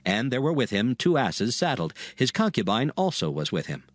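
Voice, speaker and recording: A man's voice: medium pitch, sing-song, moderate pace, no room sound, clean studio sound. A man narrating dramatized scripture in a solemn storytelling manner, reading archaic English from a script.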